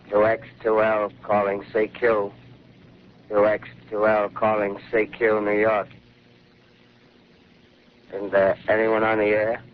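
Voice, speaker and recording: An actor playing a male radio operator repeating call signs slowly and flatly in a 1930s radio drama. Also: on the low side. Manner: slow, flat, repetitive call signs. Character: lone male radio operator calling into silence. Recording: muffled 1930s radio broadcast with hiss.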